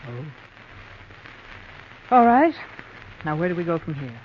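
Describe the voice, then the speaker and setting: A woman's voice: fairly low pitch, sing-song, measured pace, slight background noise. A woman playing a shrewd, cold young American crook in an old radio crime drama, with clipped, wary speech. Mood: shrewd, businesslike. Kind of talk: 1940s radio drama acting. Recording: dull vintage radio recording.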